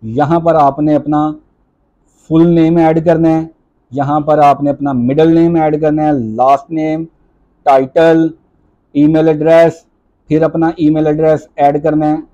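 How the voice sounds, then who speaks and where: Male tutorial host, mellow and monotone, methodical walkthrough, lo-fi voice-over. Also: fairly high pitch. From Pakistan. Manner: step-by-step instructive, reads on-screen items slowly. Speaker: job-application tutorial host. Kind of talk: screen-recorded walkthrough. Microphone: voice-over over screen recording.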